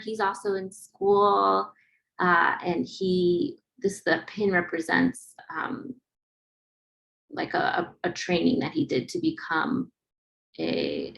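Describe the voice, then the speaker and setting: Casual woman presenter with a creaky voice. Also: on the low side, fairly bright tone, dull audio. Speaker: photographer presenting a project. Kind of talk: informal webinar presentation. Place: online webinar.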